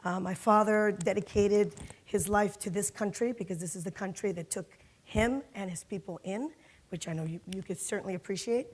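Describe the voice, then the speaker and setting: A woman's voice: medium pitch, normal speed, slightly rough. A middle-aged woman from Miami speaking on a conference panel, telling her career story with lots of fillers. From Miami, Florida. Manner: lively storytelling with frequent fillers and laughs. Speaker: panelist, corporate security executive. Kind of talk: panel talk. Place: conference hall.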